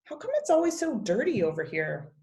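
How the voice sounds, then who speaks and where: A woman's voice: fairly high pitch, very animated, brisk, a little mellow, roomy sound, voice pushed up. A woman voicing a curious young boy who grumbles and questions in an informal, plaintive way for a storybook. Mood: puzzled complaint.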